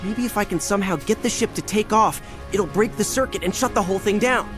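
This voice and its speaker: A man voicing a confident, wry teenage boy hero in an animated action game, with a casual delivery. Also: high voice, fast, close to an everyday voice. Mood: eager, confident. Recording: loud music behind the voice.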